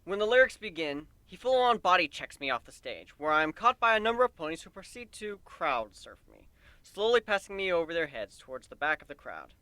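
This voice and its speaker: A man reads fantasy fiction aloud with an expressive, highly varied delivery. His voice sits on the high side and has a bright, forceful edge. The recording is close and dry. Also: fairly quick, no room sound. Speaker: amateur story reader. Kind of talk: dramatic reading of fan fiction.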